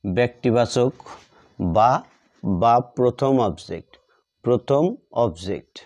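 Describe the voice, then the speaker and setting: A man's voice: medium pitch, animated, slow, darkish tone. A man teaching a grammar lesson step by step, dictating in short, repeated phrases as he writes.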